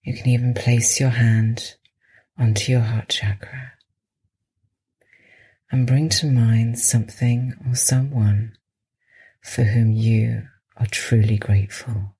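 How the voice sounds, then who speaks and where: Female meditation guide needed, soft and very breathy, almost whispering at times, unhurried and soothing delivery. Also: a bass voice, steady tone. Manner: slow, soothing, with long pauses. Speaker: meditation podcast host and guide.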